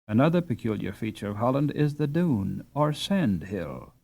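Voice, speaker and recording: A man reading a classic novel aloud as an audiobook narrator, in a measured, expressive storytelling delivery. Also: medium pitch, creaky.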